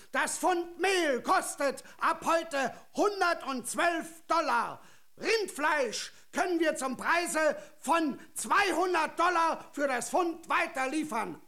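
A man's voice: very high-pitched, pushed and bright, exaggerated voice. An actor playing a harried, officious male store clerk in a radio drama, proclaiming like an announcer.

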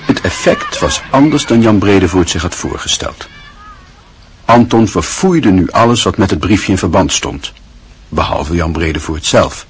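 A man narrating a radio play in a measured, literary storytelling manner. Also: sits low, animated, slightly rough.

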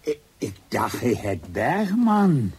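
A man's voice: medium pitch, very animated, slow, creaky, darkish tone, husky, exaggerated voice. An actor playing a plain-spoken older father in a radio drama, speaking hesitantly. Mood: puzzled, hesitant. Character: gruff, plain-spoken old father of grown daughter. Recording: distant mic, faint noise.

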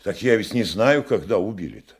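A husky, low-voiced provincial gentleman in a radio drama. He is talkative and agreeable, a little pompous about culture, with expressive, swooping intonation. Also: fairly quick. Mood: earnestly explaining. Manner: chatty, exclamatory, slightly pompous. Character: talkative, cultured provincial male hotel guest, eagerly agreeable. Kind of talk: Russian radio play acting.

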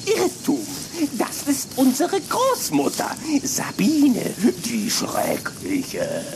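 Shrill, taunting teenage boy vampire. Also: wildly swooping pitch, gravelly, background noise, heavily acted. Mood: sly coaxing. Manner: theatrical, taunting, drawn-out, cackling. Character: teenage boy vampire, mocking, unpredictable, gleefully menacing. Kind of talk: radio play acting for children.

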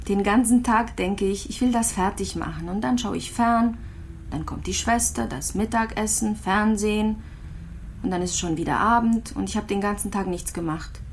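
An actress playing a resigned, gravely ill young mother in a radio play, speaking in a listless, brooding way, full of self-reproach. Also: mid-range voice, a little hiss or hum, lightly acted.

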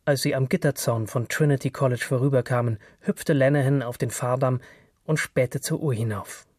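A man's voice: mid-range voice, moderate pace, darkish tone, no room sound. A male narrator reads literary fiction in a scripted style. His voice sits in a medium register, and the sound is dry, with hardly any room.

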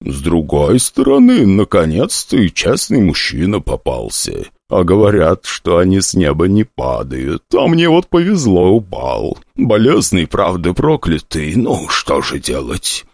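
A crude, grubby woman of the mountains drawls her words slowly, grumbling in a coarse, odd-sounding voice that differs from her reader's usual tone, as a man puts her on. She comes across as earthy and blunt in this fantasy audiobook. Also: deep voice, huge pitch swings, a little mellow, no echo, clean studio sound, heavily acted.

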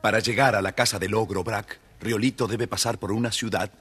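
A man's voice: on the low side, fairly even intonation, fairly quick, a bit bright, slightly rough, soft background music. A man narrating a scripted children's story expressively.

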